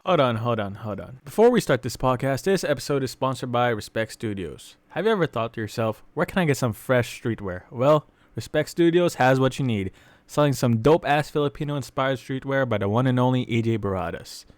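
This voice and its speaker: A man's voice: mid-range voice, expressive, brisk, dry recording. A man delivering a scripted, playful sponsor read for a podcast in informal language.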